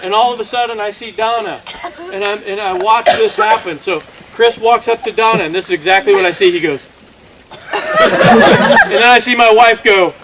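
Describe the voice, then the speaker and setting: A man's voice: sits high, big pitch swings, normal speed, very noisy. A man telling a comic story to a live audience, exaggerating and acting out the dialogue as he goes. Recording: loud audience laughter behind. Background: laughing crowd.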